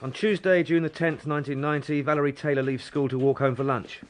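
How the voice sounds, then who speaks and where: An actor playing a polite, deferential detective sergeant in a radio drama. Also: male voice, on the high side, fairly even intonation, fast, a little hiss or hum, close to an everyday voice. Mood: earnest. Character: loyal, patient male detective sergeant, family man. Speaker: police sergeant. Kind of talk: BBC radio drama acting.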